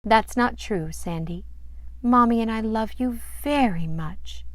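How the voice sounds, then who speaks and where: A woman voicing a gentle, reassuring father in a children's storybook, patiently explaining and asking questions. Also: fairly high pitch, measured pace, dry recording, voice pushed up, natural voice. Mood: loving, reassuring. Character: gentle, reassuring, attentive father of a young girl.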